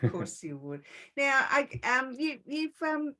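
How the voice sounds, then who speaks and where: A woman hosting a podcast, giving introductions and questions in a presenterly way with pauses mid-sentence. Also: high voice, big pitch swings, sharp tone. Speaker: podcast host. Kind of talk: scripted introduction and interview questions.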